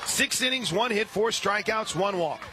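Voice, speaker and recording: Man calling softball on the radio. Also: high-pitched, moderate pace, crowd noise. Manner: steady play-by-play with brief asides, rising when excited. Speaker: radio play-by-play announcer. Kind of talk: radio sports commentary.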